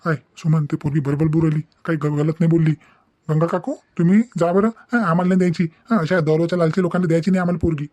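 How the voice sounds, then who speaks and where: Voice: mid-range voice, little pitch movement, very fast, dark tone, no room sound, close to an everyday voice. An actor playing a sensible, kindly older village uncle in a cartoon, explaining things in Varhadi Marathi. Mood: firm. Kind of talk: cartoon family drama dialogue.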